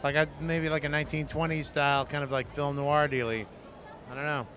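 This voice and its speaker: A man co-hosting a movie news chat, his voice hoarse and strained, talking in an easygoing way full of "uh" and "right". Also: on the high side, flat delivery, fairly quick, a bit bright. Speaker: co-host of a movie news show. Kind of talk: casual chat about movies. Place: outdoors at a busy convention.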